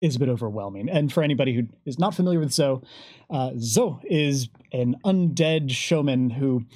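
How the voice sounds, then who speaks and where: A man talking unscripted as a game developer on a panel, articulate and measured, pausing in mid-thought. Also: mid-range voice, lively intonation, a little mellow, no room sound, studio quality.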